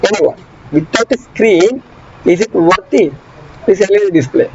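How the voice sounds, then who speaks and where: A man giving an online engineering lecture, repeating key phrases slowly and often asking students if they follow. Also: high voice, a little mellow. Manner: asks students frequent check questions. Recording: dull muffled audio with traffic noise behind.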